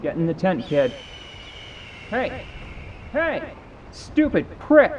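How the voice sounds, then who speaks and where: A man voicing a gruff but protective male fighter in an audio drama. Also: high-pitched, sing-song, takes it slowly, recorded from a distance, muffled recording, noisy background, voice pushed up, heavily acted. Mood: alarmed, angry.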